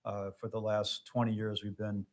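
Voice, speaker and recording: Low-voiced man explaining. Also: completely flat delivery, moderate pace. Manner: explanatory, cites figures, with fillers. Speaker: state legislator. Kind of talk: answering constituents at a town hall. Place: public meeting room.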